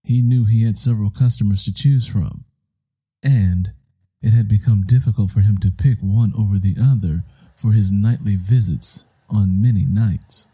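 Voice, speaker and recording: A man reading a suspense story as a narrator, in a deliberate, measured and ominous way. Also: on the low side, dark tone, breathy edge, rough recording. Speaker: audiobook narrator. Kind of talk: dramatic scripted suspense narration.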